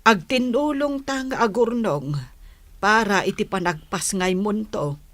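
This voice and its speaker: A woman acts as an older Ilocano mother in a village radio play. She speaks slowly and patiently, gently consoling, in a dry, close recording. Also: medium pitch, expressive, no room sound. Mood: gentle, resigned. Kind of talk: Ilocano radio drama acting.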